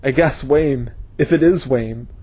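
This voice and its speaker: A man voicing a grim, intense male scholar who speaks with a brooding edge, his voice husky. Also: medium pitch, unhurried, warm tone.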